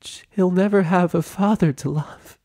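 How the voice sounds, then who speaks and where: A man voicing a gentle, emotional young pony mother, soft and hesitant, in a story reading. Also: sits high, measured pace, pitched above the performer's own voice. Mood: tearful.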